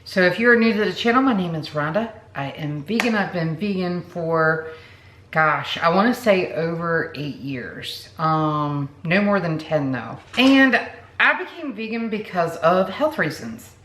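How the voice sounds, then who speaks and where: A woman's voice: on the low side, animated, unhurried, a bit bright. A woman in her late forties, chatting casually to camera as a vlogger, rambling with many fillers and asides. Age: 40s. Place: home room. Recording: echoey room with background noise.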